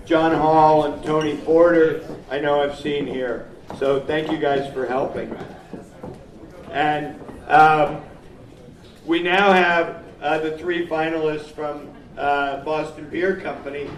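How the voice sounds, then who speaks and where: A man from New England hosting a homebrew competition, announcing the winners in a halting, informal speech. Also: a little high. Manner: halting, with frequent pauses. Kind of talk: informal speech at a live event. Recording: echoey room, mic far off, faint noise.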